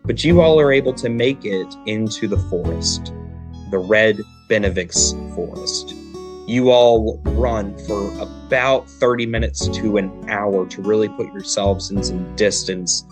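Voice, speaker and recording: A man narrating a tabletop game as game master, describing the scene at a steady pace. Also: fairly low pitch, a little slow. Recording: guitar music playing behind.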